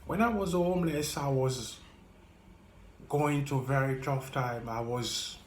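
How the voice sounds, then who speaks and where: A man with a French accent speaking earnestly and haltingly to camera as a YouTuber, repeating himself as he reaches out to viewers. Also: mid-range voice, reverberant, a little hiss or hum. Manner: slow, earnest, halting and repetitive. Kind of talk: heartfelt direct-to-camera talk.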